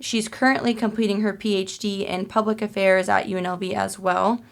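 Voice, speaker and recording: A young woman hosts a podcast and reads her introduction from a script. Her voice is flat and gravelly with heavy vocal fry, and the delivery stays even throughout. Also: medium pitch, fairly quick. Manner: even, read-aloud delivery. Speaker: podcast host.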